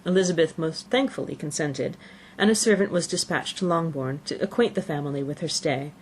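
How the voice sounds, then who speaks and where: A woman's voice: deep voice, brisk, a little hiss or hum. A woman narrating a classic novel as an audiobook, reading from the text.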